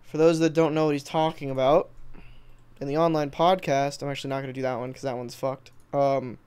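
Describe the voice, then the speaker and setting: An American man talks in a medium-pitched voice on a casual livestream. He rambles informally, drops the odd swear word and replies to viewers as he goes. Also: little pitch movement, a little fast, a little mellow, clean tone. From Texas, United States. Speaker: livestreamer and video editor. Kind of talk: casual livestream chat, reading and answering comments.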